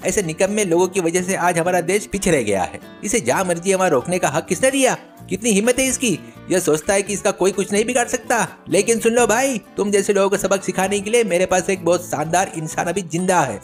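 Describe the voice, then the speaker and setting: A cocky, gullible village man from a comic storybook tale, acted out by a male narrator, pitched very high. He rants and exclaims as if he were very important, with music playing underneath. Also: brisk, hardly any pauses, lightly acted. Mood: outraged ranting. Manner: ranting, self-important, exclamatory.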